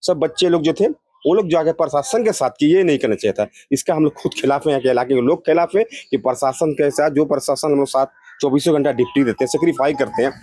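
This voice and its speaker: Fast-talking man with a mellow voice. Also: fairly high pitch, no room sound. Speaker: local resident interviewed. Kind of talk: street interview, opinion statement.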